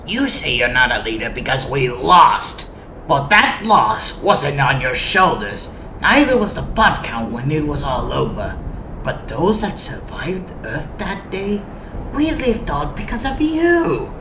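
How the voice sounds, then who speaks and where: Female actor as theatrical loyal robot officer, low voice, very animated delivery, eloquent, roomy echo, comic dub. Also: takes it slowly, background noise, heavily acted. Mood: earnest, pleading. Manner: eloquent, dramatic, needling. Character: proud, theatrical, fiercely loyal robot second-in-command. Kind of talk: dramatic comic dub acting.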